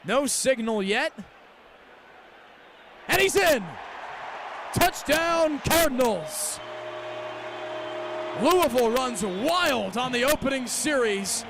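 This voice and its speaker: Young male radio football announcer, high voice, detailed and crisp, over clear background noise from the stadium. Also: lively intonation, takes it slowly, pauses often. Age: young adult. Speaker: play-by-play sports announcer. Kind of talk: live radio American football commentary. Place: stadium game broadcast. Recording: live outdoor broadcast with background noise.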